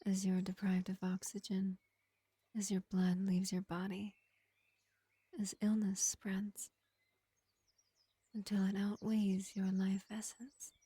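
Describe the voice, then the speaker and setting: A woman playing a gentle, ancient pacifist vampire in an intimate fantasy roleplay, speaking in an unhurried, soothing half-whisper. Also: medium pitch, monotone, slightly rough, no room sound. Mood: grave, musing. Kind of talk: slow intimate ASMR-style fantasy roleplay.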